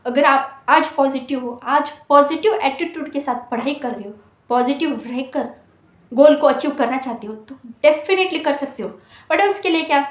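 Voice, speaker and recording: A woman's voice: high-pitched, roomy sound. A woman of twenty-one giving an earnest motivational talk to exam students, scolding them with rhetorical questions. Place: hostel room.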